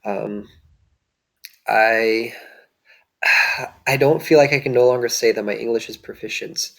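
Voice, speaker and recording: Hesitant man with a gravelly voice. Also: mid-range voice, a little slow, fairly bright tone. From French and Hungarian by birth. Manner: hesitant, searching for words, many fillers. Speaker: streamer playing a strategy game. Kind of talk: casual gaming chat.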